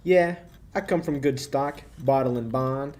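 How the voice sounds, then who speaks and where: A young actor playing a slick, mocking, menacing racketeer in a 1940s-style radio play. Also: male voice, medium pitch, unhurried, darkish tone, natural voice. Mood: jovial. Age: young adult. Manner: sneering, wisecracking. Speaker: high school actor.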